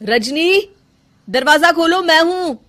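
A woman's voice: extremely high pitch, animated, takes it slowly, bright, forceful tone, pitched above the performer's own voice, full character voice. A woman voicing a young husband who pleads with and comforts his wife. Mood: pleading. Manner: pleading, reassuring. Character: young concerned husband, gentle.

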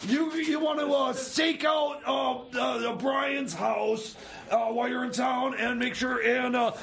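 A man voicing a frantic, stammering boss handing out secret missions in improvised comedy radio theater, full of halting repeats. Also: very high voice, fairly even intonation, vocal fry, a bit bright, raised voice, exaggerated voice. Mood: bossy, agitated. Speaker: comic character on a radio show.

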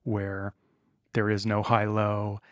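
A man co-hosting a podcast in casual chat, blunt and quick to swear. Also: lowish voice, monotone, no room sound, clean studio sound. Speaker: podcast co-host.

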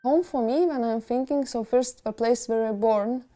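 A woman's voice: fairly high pitch, normal speed, over loud music. A young woman from Lithuania talking hesitantly about her film and her home, searching for the words. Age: young adult. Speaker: filmmaker. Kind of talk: casual interview. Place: small decorated bedroom.

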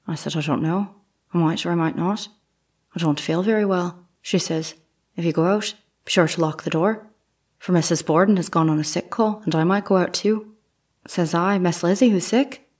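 Actress as Victorian housemaid on the stand, lowish husky voice, plain, deferential, courtroom audio drama. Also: female voice, normal speed, a little mellow. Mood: plain, respectful. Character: plain-spoken 19th-century housemaid, a respectful witness. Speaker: actor.

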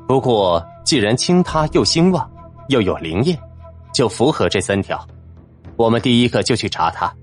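A man voicing a cool, resolute Taoist cultivator in an audiobook, formal and decisive. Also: mid-range voice. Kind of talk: audiobook character acting.

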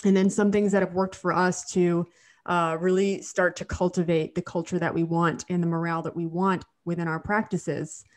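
A woman's voice: on the low side, little pitch movement, normal speed. A woman in her thirties co-hosting a podcast from home, a little nasal from a cold, chatty with frequent restarts. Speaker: podcast co-host, dental hygienist. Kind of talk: casual podcast chat. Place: home living room. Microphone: studio mic on video call.

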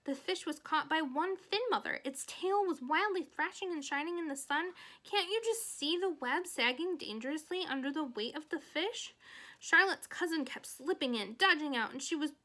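A woman's voice: high-pitched, lively intonation, normal speed, sharp tone, pitched above the performer's own voice. A woman voicing an imaginative young girl who tells stories in an earnest, dramatic and insistent way. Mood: excited.

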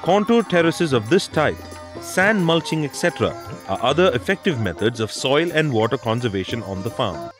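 A man's voice: medium pitch, very animated, normal speed. A man reading scripted instructional narration for a documentary. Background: background music.

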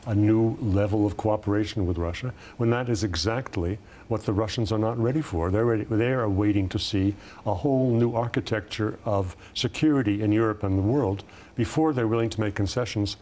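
A man in his seventies giving political commentary as a panel guest, deliberate and formal with hesitant pauses. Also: lowish voice, warm tone. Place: television studio round table.

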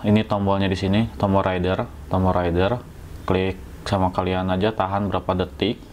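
Male vlogger, lowish voice, West Java Indonesian accent, informal jokey tutorial chat, noticeable room noise behind him. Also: flat delivery, normal speed, darkish tone. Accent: Sundanese-region Indonesian. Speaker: motovlogger. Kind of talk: casual hands-on tutorial chat. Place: indoors at home.

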